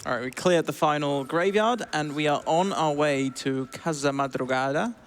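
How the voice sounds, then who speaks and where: A man's voice: fairly high pitch, moderate pace. Swiss man with a British-sounding accent. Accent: British-sounding English. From Switzerland. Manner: relaxed, chatty, explains as he plays. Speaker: speedrunner. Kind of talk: casual commentary while playing.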